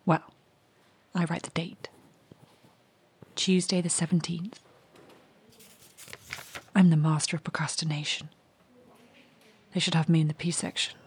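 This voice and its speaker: An actress playing a restless, curious young woman in an audio drama monologue, confiding and wry, with a hushed, husky voice. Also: sits low, flat delivery, measured pace, long pauses, heavily acted.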